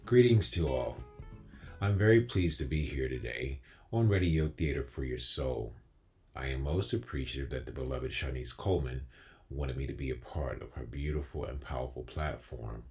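A man with an exceptionally low, bass voice speaks as a motivational storyteller. His delivery is earnest and deliberate, with solemn phrasing suited to an inspirational address. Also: darkish tone, soft background music.

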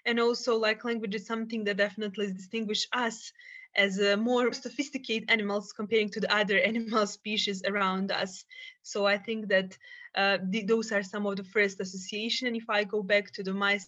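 A woman's voice: medium pitch, level tone, fairly quick, fairly bright tone. A young woman from Bosnia and Herzegovina, speaking thoughtfully as a podcast guest and often restarting her sentences. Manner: thoughtful, searching for words, frequent restarts. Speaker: guest, psychologist and peace builder. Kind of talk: podcast interview, remote. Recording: dull lo-fi sound.

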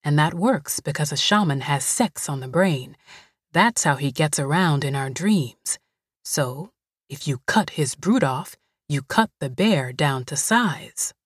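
A woman voicing a calm older woman who comforts a frightened girl in a low, soothing voice. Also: unhurried, slightly rough, no room sound, clean studio sound, heavily acted.

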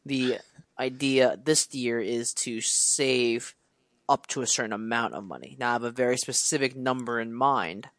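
A man in his twenties, co-hosting a podcast in casual chat, talking at length with restarts and quick laughs. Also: medium pitch, fairly bright tone, dry recording. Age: 20s. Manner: chatty, rambling with restarts and laughs. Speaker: podcast co-host.

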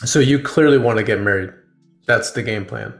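A man's voice: mid-range voice, moderate pace. A man in his forties hosting a casual podcast interview, playful and encouraging as he asks questions. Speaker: podcast host. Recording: roomy echo with faint music.

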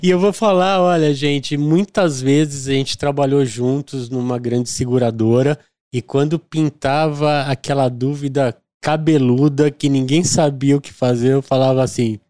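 A man hosting a podcast, joking and enthusiastic, who praises his guest and throws in quick asides. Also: mid-range voice, fairly even intonation, mellow, dry recording. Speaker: podcast host. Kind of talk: casual podcast interview.

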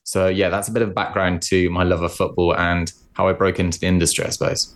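A man from Scotland who speaks with an English accent, his voice sitting quite low. He chats in a relaxed, self-deprecating way, full of small fillers, as a guest on a remote podcast call. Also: fast. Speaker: podcast guest, football presenter. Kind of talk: casual interview chat.

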